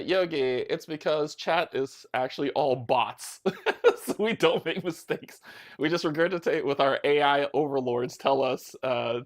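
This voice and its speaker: Chatty streamer guy with gravelly voice. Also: mid-range voice. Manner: chatty, upbeat, self-deprecating. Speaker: streamer and host. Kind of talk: casual livestream chat, greeting viewers.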